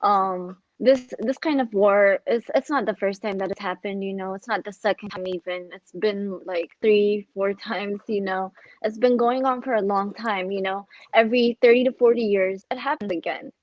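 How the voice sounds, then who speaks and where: A woman's voice: mid-range voice, steady tone, normal speed, a little mellow, no echo. A young woman from Ethiopia speaking as a panelist in an online discussion, chatty and full of "like" and "you know". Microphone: video call. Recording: dull, dry video call audio.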